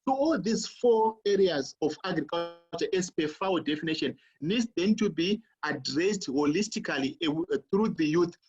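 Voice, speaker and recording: Professor on a panel, from Zimbabwe: high, breathy voice, halting delivery, dull lo-fi sound. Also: male voice, animated, faint music behind. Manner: halting, repeats words as he searches for them. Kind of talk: panel discussion talk on video call.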